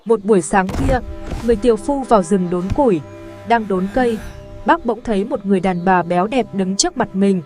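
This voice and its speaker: Mid-pitched woman narrating a fairy tale. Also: brisk, over music. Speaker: storyteller.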